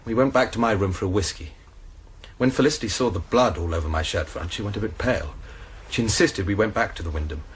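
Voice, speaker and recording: An actor playing a young college student in a radio drama, defensively recounting a fatal scuffle step by step. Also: male voice, fairly low pitch, fast, slight background noise, natural voice.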